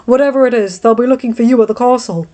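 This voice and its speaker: A woman voicing a reserved young lowborn male blacksmith who speaks tersely and bluntly. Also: fast talker, warm tone, natural voice. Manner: terse, plain-spoken, matter-of-fact.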